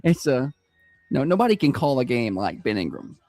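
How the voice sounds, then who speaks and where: A man with a high-pitched Southern accent, hosting a morning radio show in casual, self-deprecating chat. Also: big pitch swings, normal speed, a little mellow, soft background music. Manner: chatty, self-deprecating, laughs easily. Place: radio studio.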